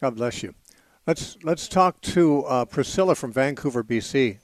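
A middle-aged man hosts a call-in radio show, speaking from a close studio mic with a dry sound. He talks in a thoughtful, searching way, often restarting his sentences. When time runs short he moves callers along briskly. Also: mid-range voice, lively intonation, no echo. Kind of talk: call-in radio Bible talk. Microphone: studio microphone.

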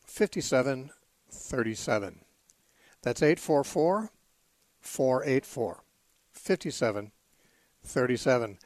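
Hoarse man hosting call-in radio. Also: medium pitch, a little mellow, dry recording. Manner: hesitant, with fillers and restarts. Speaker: radio talk show host. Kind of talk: live call-in Bible radio talk.

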